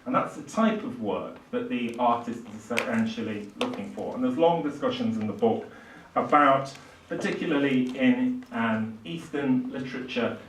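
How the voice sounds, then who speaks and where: Halting older man lecturing, distant and roomy. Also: lowish voice, slight background noise. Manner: halting, hesitant delivery with frequent pauses. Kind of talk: public literary lecture. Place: small indoor lecture room with seated audience. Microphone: far camera, speaker at a lectern. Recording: roomy, distant microphone in a hall.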